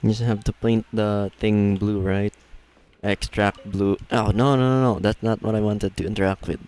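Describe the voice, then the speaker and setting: Low-voiced man streaming a game. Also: fairly even intonation, normal speed, darkish tone, clear, resonant tone. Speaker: game livestreamer. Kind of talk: casual playthrough commentary, thinking aloud. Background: faint game music.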